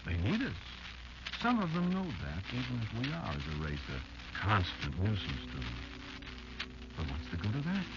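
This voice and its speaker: An actor playing a bitter, elderly insect lecturing a child in a slow, portentous old-time radio drama monologue. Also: male voice, lowish voice, wildly swooping pitch. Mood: patient, insistent. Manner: slow, deliberate, portentous storytelling with dramatic pauses. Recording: muffled, noisy old radio show recording.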